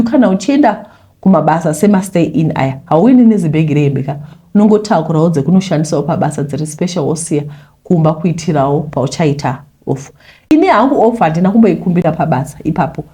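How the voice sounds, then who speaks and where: A Zimbabwean woman narrates a personal confession at a brisk pace. Her voice is soft-edged and mellow, sitting a little low. She keeps it conversational, slipping English phrases into the story. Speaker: YouTuber reading a listener's confession.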